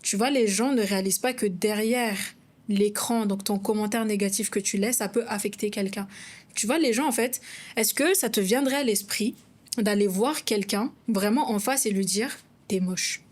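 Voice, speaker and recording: A woman's voice: mid-range voice, moderate pace. Frank woman with a Malagasy accent. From Madagascar. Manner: frank and outspoken, conversational. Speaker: podcast co-host, content creator. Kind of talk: casual podcast chat.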